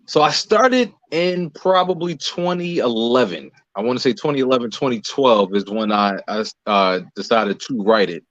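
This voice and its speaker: A man's voice: medium pitch, expressive, moderate pace, few pauses, no room sound. An American man giving casual answers as an interview guest, on a slightly muffled recording. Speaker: interview guest, singer, actor and playwright.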